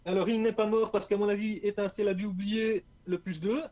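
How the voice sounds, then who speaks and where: High-voiced Belgian man commentating. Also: level tone, a little fast, warm tone, dry recording, lo-fi sound. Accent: Belgian French. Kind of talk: casual game commentary.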